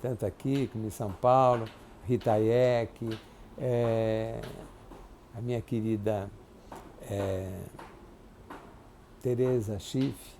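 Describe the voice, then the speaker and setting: Older man, 70s, gravelly and dark-toned; halting, reflective interviewee with faint room hiss. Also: on the low side, steady tone. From Brazil. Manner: halting, reflective, many restarts and tag questions. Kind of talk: oral history interview. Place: clinic office.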